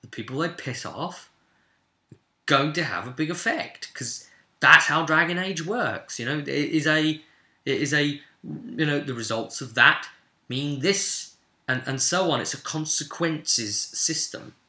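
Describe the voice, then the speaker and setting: A man speaks in a very bright, forceful voice inside a noticeably echoey room. He is a game master recapping a roleplay session as casual storytelling. His delivery rambles and leans hard on key words, slipping into quoted remarks as he goes. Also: on the high side, a little slow.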